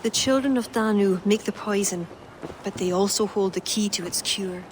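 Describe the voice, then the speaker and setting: Actress as an guide: earnest, urgent, over clear background noise. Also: female voice, mid-range voice, normal speed, close to an everyday voice, poor audio. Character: young Irish woman, loyal guide. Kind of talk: video game dialogue.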